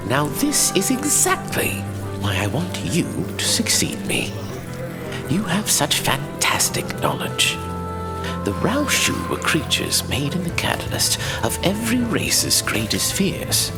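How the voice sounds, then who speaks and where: An actor playing an elder mentor in a fantasy audio drama, telling dark war history in a breathy, theatrical way. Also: male voice, fairly high pitch, huge pitch swings, a little slow, loud music behind the voice. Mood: grave, dramatic.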